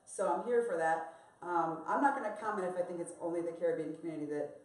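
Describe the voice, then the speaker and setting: Lower-voiced American woman, echoey room. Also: fast. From United States. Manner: candid, reflective, repeats her own mantra. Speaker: panel guest. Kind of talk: live panel discussion.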